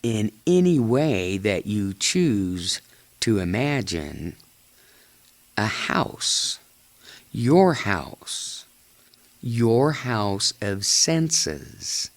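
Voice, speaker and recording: Very slow low-voiced man guiding meditation. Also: big pitch swings. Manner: measured, halting phrases with long pauses. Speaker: guided imagery narrator. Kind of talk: slow guided meditation narration.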